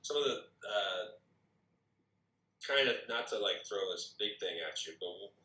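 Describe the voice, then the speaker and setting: Man, deep but very bright voice, hesitant and stammering, informal feedback, recorded in an echoey room. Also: lively intonation, normal speed. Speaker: script reader giving feedback. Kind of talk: casual critique discussion. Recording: roomy echo, distant from mic.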